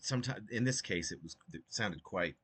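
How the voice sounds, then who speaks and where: A man with a husky voice speaking as a poet about and from his own work, in an informal, halting and digressive way. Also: fairly low pitch, expressive, a bit bright. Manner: halting, digressive, self-interrupting. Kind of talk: rambling informal commentary and poetry reading.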